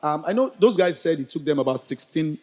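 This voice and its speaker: A Nigerian man with a notably high voice co-hosts a live stage event. He speaks in a polite, respectful way, trading banter with his fellow host and introducing guests to the audience. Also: expressive, brisk, a little mellow, long reverb tail. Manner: polite, addresses co-host as sir.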